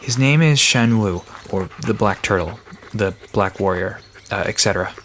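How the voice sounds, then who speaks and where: A man's voice: on the low side, moderate pace, a bit bright, a little hiss or hum, close to an everyday voice. A man playing a friendly, nerdy guide to mythical creatures in an audio drama, explaining lore eagerly with hesitant asides. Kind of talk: conversational audio drama dialogue.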